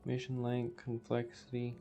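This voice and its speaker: A man's voice: medium pitch, monotone, unhurried, mellow, soft background music. A man with a scratchy voice, rambling through casual gameplay commentary as the host. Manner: rambling, self-interrupting. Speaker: gaming video host.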